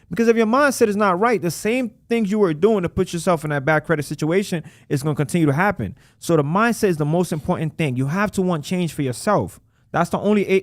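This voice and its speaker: A man who runs a credit repair business, talking casually in an interview and acting out his callers in quick voices. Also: a little high, no room sound, clean studio sound. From United States.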